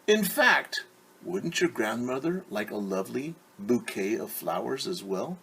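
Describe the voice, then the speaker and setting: A sly, hungry storybook wolf, as a man reads him, drawing out his words slowly. He is silky and falsely polite. The voice is husky and breathy. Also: mid-range voice, big pitch swings, bright, forceful tone, exaggerated voice. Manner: slow, oily and menacingly polite. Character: sly, smooth-talking hungry male wolf.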